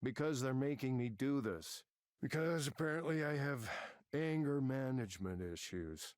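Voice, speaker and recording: A man's voice: mid-range voice, animated, moderate pace, darkish tone, no echo, clean studio sound, lightly acted. A man playing an angry, middle-aged veteran tech manager in a visual novel game, combative, sarcastic and foul-mouthed. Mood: grudging. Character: angry veteran male tech manager, arrogant, bitter, ranting. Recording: game audio with music behind. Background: music.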